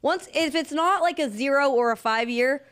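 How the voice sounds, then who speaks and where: A woman in her early forties co-hosts a relaxed podcast. Her voice sits a little high, and she talks in an upbeat, chatty way, laughing easily between lines. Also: moderate pace. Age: 40s. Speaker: podcast co-host. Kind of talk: casual chat.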